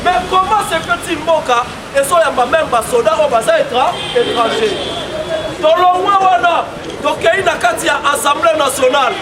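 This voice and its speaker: A Congolese man delivers a street rally speech at an extremely high pitch, pushing questions and slogans at his listeners. His words echo, with a loud crowd all around. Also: expressive, moderate pace. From Democratic Republic of the Congo. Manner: emphatic, repetitive, prompting the crowd with questions and slogans. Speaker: political activist and street orator. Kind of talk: rallying political street speech with call and response. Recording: noisy outdoor crowd, roomy echoing sound, traffic. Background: loud crowd and vehicle noise.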